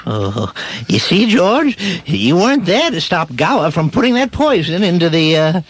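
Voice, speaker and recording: A man playing a patient, matter-of-fact guardian angel in a comedic radio drama, with a husky voice. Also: fairly high pitch, big pitch swings, moderate pace. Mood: patient, insistent. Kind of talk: comedic radio show read-through.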